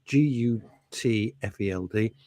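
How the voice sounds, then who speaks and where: A man's voice: lowish voice, a little slow, no echo. A man co-hosting a radio talk show, telling rambling stories with laughs and false starts in casual studio chat. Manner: lively, rambling storyteller with laughs and restarts.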